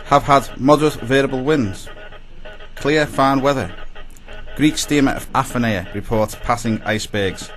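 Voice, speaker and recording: A man's voice: mid-range voice, measured pace, a bit bright, close to an everyday voice. A man playing a ship's wireless operator in a radio play, reading reports in a clipped, formal way. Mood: formal, businesslike.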